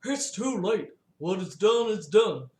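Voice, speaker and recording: A woman's voice: medium pitch, expressive, slow pace, darkish tone. A woman voicing a defiant young man pleading with his father in a storybook reading. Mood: cold. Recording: roomy echo.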